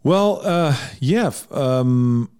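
A middle-aged man from Iceland, a songwriter talking in a relaxed, thoughtful interview with frequent restarts. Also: medium pitch, very slow, clear, resonant tone. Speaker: songwriter guest. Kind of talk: casual interview chat.

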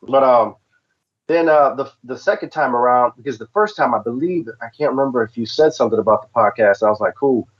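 A man's voice: mid-range voice, dull audio. A man from the southern United States chatting casually as a podcast co-host. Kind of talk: casual chat.

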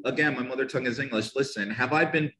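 An American man with a Northern New Jersey accent talks about teaching English pronunciation, passionate and emphatic, often repeating phrases for stress. His voice sits at an ordinary pitch for a man. It carries a roomy echo around it. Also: fast talker, bright, forceful tone. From United States. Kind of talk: passionate podcast conversation.

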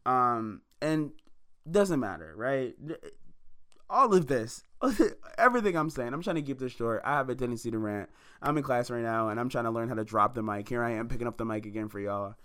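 A man's voice: mid-range voice, animated, normal speed, fairly bright tone, smooth. A man in his twenties giving a preachy motivational monologue to listeners, repeating lines for emphasis. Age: 20s. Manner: addresses listeners as y'all and baby. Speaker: independent musician opening a podcast.

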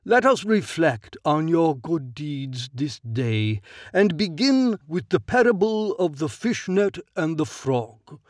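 A man's voice: fairly high pitch, big pitch swings, slow, no echo, studio quality, pitched above the performer's own voice. A man putting on a lofty, pious young count. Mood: reverent. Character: earnest pious young male count, cherubic and devout.

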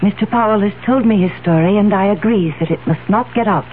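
An actress playing a determined, wealthy fiancée with an insistent, controlled manner in a 1970s radio drama. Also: female voice, medium pitch, quick pace, natural voice. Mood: firm, businesslike. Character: cool, determined wealthy fiancée scheming against a blackmailer. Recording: narrow, hissy old radio recording.

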